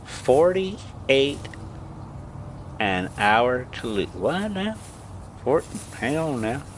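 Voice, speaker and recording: An older man reading a classic adventure story aloud in a dramatic, deliberate way with emphatic pauses and casual delivery of asides. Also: medium pitch, sing-song, a little hiss or hum.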